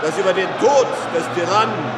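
High-voiced accusing revolutionary deputy. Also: male voice, animated, a little fast. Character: suspicious, calculating revolutionary deputy hunting traitors. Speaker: actor in a radio drama. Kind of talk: radio drama political speech. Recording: roomy, distant, heavily noisy hall.

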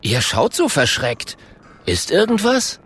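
A Stone Age caveman from a children's audiobook, performed by a man. He is a blustering show-off who flusters easily, with a raised, excitable pitch that jumps around wildly. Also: slow pace, husky, heavily acted. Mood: cocky. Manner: blustering, stammering when scared.

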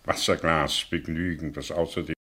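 An actor playing a sardonic, shabby professor with a husky, ironic drawl in a radio play. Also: male voice, very deep voice, fairly even intonation, moderate pace, bright, forceful tone, heavily acted. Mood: sardonic amusement. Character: sardonic, shabby male professor, theatrical and mocking.

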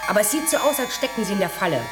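A man's voice: sits high, animated, racing, over loud music. A male actor playing a dry, factual surveillance operator in a science-fiction radio play. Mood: brisk, factual. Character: brisk, dry surveillance operator reporting a raid.

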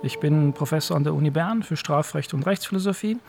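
Male professor, casual interview, gravelly fry, halting delivery, faint music behind. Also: fairly high pitch, a little mellow. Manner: halting, repeats words while thinking aloud. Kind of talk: casual reflective interview talk. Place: university office.

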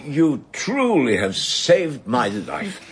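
Old British judge, slow, lively pitch, fussy and formal, radio play. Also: male voice, a little hiss or hum. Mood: weary, courtly. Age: older. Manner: formal, old-fashioned phrasing. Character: elderly male judge, courtly, fussy, genteel. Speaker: elderly judge arriving at a hotel. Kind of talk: radio drama acting.

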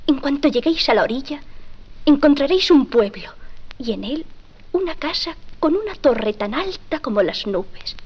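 A woman's voice: sits high, animated, moderate pace, a little mellow. A woman playing a wise forest fairy, giving solemn, instructive guidance in a children's storybook tale. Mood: solemn, guiding. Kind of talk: storybook acting.

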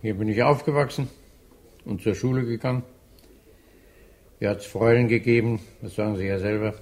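A man's voice: on the low side, steady tone, brisk, a little mellow, natural voice. An actor in a German radio drama playing a stubborn old man who dwells on memories and contradicts in a matter-of-fact way. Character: old man fishing, stubbornly argumentative, reminiscing.

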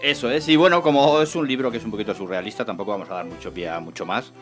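A man's voice: lowish voice, racing. A man with an accent from Spain, co-hosting and reading on a radio show in a colloquial, jokey way. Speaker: radio show co-host and reader. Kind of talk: casual scripted-radio chat, then novel reading. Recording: music behind the voice. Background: music.

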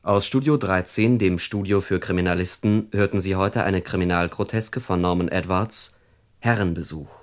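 A man reading a scripted announcement as a radio announcer, formal and measured. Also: sits low.

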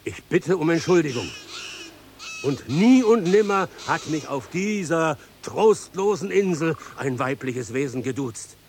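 A man's voice: a little high, lively intonation, measured pace, slight background noise. A man acting a dour, grumbling island coachman in a German radio drama. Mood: bitter, apologetic.

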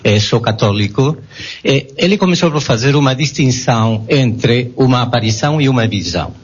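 Man, non-native speaker, husky mid-pitched voice, careful and perplexed questioning, dull lo-fi sound. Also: lively intonation, normal speed. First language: not Portuguese. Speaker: audience member asking a question. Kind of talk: public debate question. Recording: dull lo-fi recording.

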